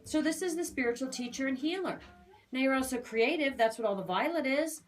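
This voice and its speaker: A woman's voice: sits high, brisk. A woman giving a conversational spiritual reading as an aura reader, talking in a chatty, emphatic, assured way.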